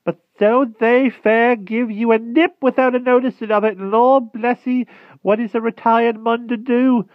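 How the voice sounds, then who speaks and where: A shrill, slow, timid old hedgehog in rustic dialect, tinny line. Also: male voice, fairly even intonation, no echo. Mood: fretful. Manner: thick rustic country dialect, quavering and pleading. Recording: tinny phone-like dry sound.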